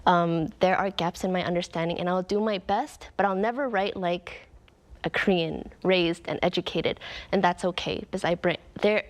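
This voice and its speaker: Young canadian woman, lowish voice, talks thoughtful and earnest, lots of um and like. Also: normal speed. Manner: earnest, reflective, frequent "um" and "like". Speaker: author guest. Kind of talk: conversational interview answers.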